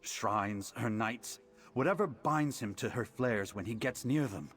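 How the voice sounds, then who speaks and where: An actor playing a grave, learned mage advisor in a fantasy video game, speaking in a deliberate, formal, solemn way. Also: male voice, medium pitch, fairly bright tone, faint music behind, natural voice. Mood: grave, concerned.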